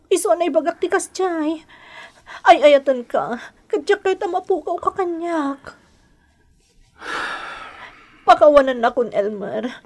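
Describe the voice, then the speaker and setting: A woman playing an emotional, pleading wife with a sharp temper in a radio drama. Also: sits high.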